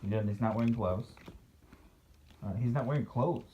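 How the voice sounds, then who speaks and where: A dad reads a picture book and chats about it with playful, mock-critical commentary. His voice is a man's, at an ordinary pitch. The recording is slightly noisy and dull, like a homemade one. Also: moderate pace, warm tone. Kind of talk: storybook read-aloud with casual chat.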